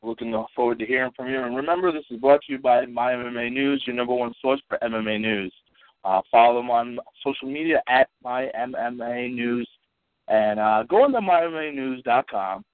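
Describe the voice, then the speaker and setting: A man with a stuffy, congested voice hosting a casual call-in podcast, talking in halting bursts full of fillers and restarts. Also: mid-range voice, dry recording. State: stuffy from allergies and a cold. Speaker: podcast host. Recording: tinny dry phone line.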